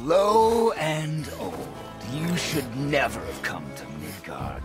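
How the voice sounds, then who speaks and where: Slow, taunting male stranger. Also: fairly high pitch, huge pitch swings, over music, heavily acted. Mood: mocking, menacing. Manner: drawn-out, mocking, swooping. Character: taunting, menacing wiry stranger, a Norse god. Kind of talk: cinematic video game acting.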